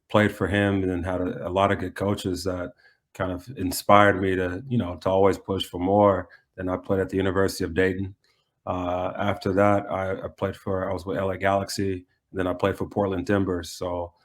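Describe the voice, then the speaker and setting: A man with an American accent speaks in a low voice that stays very even. He sounds like a coach being interviewed, answering in a conversational way. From United States.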